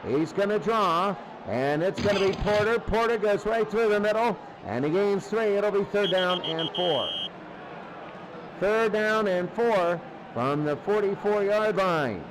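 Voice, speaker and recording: Guy with a pretty high voice calling a football game, informal, noisy background. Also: animated, unhurried. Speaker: play-by-play football commentator. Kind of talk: live sports commentary. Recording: clearly noisy game broadcast.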